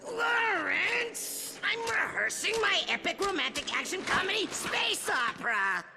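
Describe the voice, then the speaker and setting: An actor playing a megalomaniac robot villain in a video game, hammy, bombastic and prone to shrieking. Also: male voice, extremely high pitch, big pitch swings, a little slow, gravelly, heavily acted. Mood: gleeful, impatient. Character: megalomaniac robot villain rehearsing his own melodrama. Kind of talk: video game cutscene acting. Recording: loud music behind the voice.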